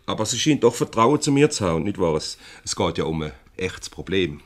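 An officious company director in a 1970s radio comedy. He has a fairly low voice and a Swiss German accent, speaking formally and placatingly with a touch of pomposity. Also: sing-song, brisk. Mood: businesslike, placating. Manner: formal, pompous, hesitant when mediating.

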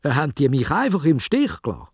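A bewildered, flustered old storybook man, acted by a male narrator, with a Swiss German accent. He sounds husky and questions in animated swings of pitch. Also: fast talker, dry recording, clean studio sound, exaggerated voice. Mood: startled, bewildered.

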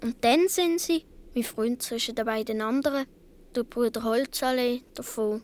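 A woman's voice: on the high side, moderate pace, darkish tone, lightly acted. A woman playing a seven or eight year old Swiss boy, telling his story earnestly with a Swiss German accent in a radio play. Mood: wistful, recounting.

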